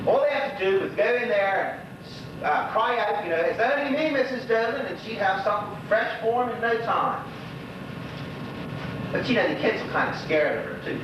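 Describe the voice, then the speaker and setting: An actor playing a lonely old widower in a stage monologue, chatting wistfully and rambling in a folksy Bermudian dialect. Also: male voice, high voice, lively intonation, moderate pace, distant mic. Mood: fond. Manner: rambling, folksy, gossipy storytelling. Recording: echoey stage recording from afar, noisy, dull.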